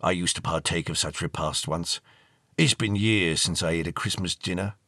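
A man voicing a portly, courtly old male ghost who speaks in a low, hushed and old-fashioned way. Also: moderate pace, no echo, clean studio sound. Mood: wistful.

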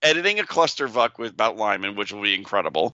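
A loud man co-hosting a podcast, laughing often and joking crudely. His voice swings widely in pitch and has a bright, sharp edge. The sound is dry and a bit dull. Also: expressive, fast talker, no room sound. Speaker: podcast co-host. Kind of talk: casual podcast banter.

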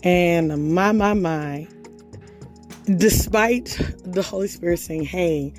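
Hoarse, low-voiced woman podcast host. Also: takes it slowly, darkish tone, soft background music. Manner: conversational, reads scripture aloud, chatty fillers. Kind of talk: casual devotional podcast talk.

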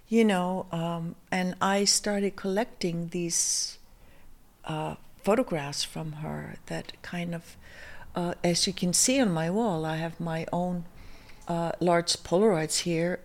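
A middle-aged woman with an Icelandic accent speaks English in an unhurried, searching way, pausing on fillers and starting words over. Her voice sits a little low. She is a guest in a relaxed interview. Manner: slow, hesitant, many fillers and restarts. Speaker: fashion designer guest. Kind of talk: interview conversation.

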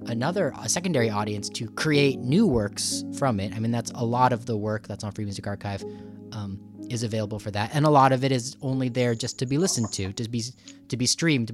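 A man hosting a podcast interview in an enthusiastic, rambling, conversational way. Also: medium pitch. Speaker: podcast host.

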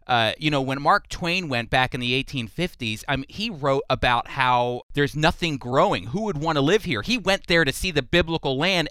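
A male radio host with a crisp, clear voice and lively, varied intonation. He interviews in a conversational style, and the sound is dry and close. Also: medium pitch, no room sound.

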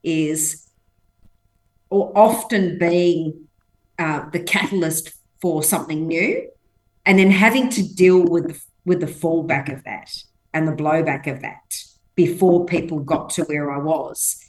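A woman with a fairly low voice speaks as a guest in a conversational interview. English is not her first language. She tells stories emphatically and acts out what people said. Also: measured pace, darkish tone, low-quality recording. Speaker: podcast guest.

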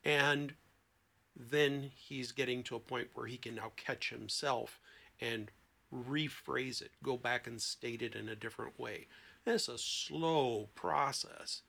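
A man who works as a therapist, talking conversationally as a podcast guest and telling anecdotes in an expressive, emphatic way. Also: mid-range voice, slow, creaky. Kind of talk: conversational explanation with anecdotes and acted-out quotes.

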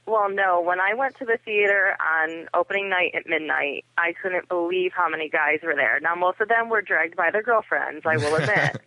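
A woman's voice: lowish voice, normal speed, hardly any pauses, bright, forceful tone, dry recording. A woman giving emphatic opinions as a fan reviewer in a casual podcast discussion. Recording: tinny phone line, dry.

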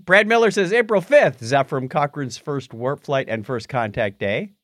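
A man's voice: medium pitch, moderate pace, dry recording, studio quality. A man co-hosting a podcast in a casual, jokey style, bantering and reading items aloud. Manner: jokey, animated. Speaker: podcast co-host.

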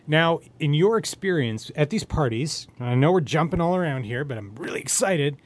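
A man's voice: a little high, animated, moderate pace. A man hosting a podcast in a casual chatty style, asking rambling questions and interrupting himself as he goes. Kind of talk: casual chat.

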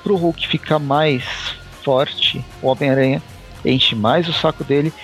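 A man's voice: on the high side, steady tone, a little slow, fairly bright tone, faint music behind. A Brazilian man co-hosting a casual podcast, recapping a comic story with loose asides and frequent laughs. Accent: Brazilian Portuguese. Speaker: podcast co-host. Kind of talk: casual comic review chat.

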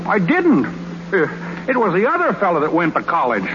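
An actor playing a naive, boastful young country baseball pitcher in a 1930s radio comedy, talking in a folksy, ungrammatical, earnest way. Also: male voice, wildly swooping pitch, brisk. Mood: evasive, earnest. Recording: noisy muffled 1930s radio recording.

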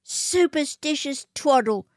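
A woman voicing a haughty, snobbish young outback woman in a storybook reading, with a shrill, sneering, theatrical delivery. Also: animated, takes it slowly, no echo, clean studio sound. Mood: scornful.

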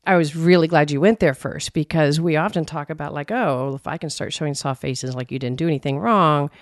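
Intense, gravelly-voiced woman. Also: low-pitched, a little fast, no echo, studio quality. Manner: intense, emphatic voice that rises when animated. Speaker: podcast co-host. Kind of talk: conversational podcast discussion.

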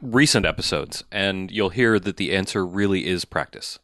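Deep-voiced man giving emphatic advice. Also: normal speed, sharp tone, no echo, studio quality. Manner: jokey, emphatic, repeats words for effect. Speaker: podcast co-host. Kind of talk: casual podcast chat.

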